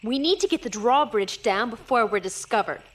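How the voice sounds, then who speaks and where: A haughty warrior villainess, acted by a woman, high and very animated. She is imperious and scornful, with a quick temper, in audio drama style. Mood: scornful, bossy. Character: haughty, hot-tempered female martial-arts villain.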